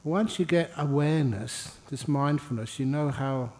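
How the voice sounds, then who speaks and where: A man leading a guided meditation as a monk and teacher, speaking informally in short, unhurried phrases with pauses and gentle humour. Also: on the high side, big-room sound. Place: indoor meditation hall.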